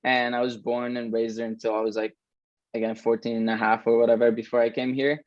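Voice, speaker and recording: A young man in his twenties, from Iran, talks loosely, swears often and tags his sentences with "right?". His voice sits at an ordinary pitch. The recording sounds dull and lo-fi, with a dry, close feel. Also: little pitch movement, fairly quick, dry recording. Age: 20s. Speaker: co-host. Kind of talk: casual chat.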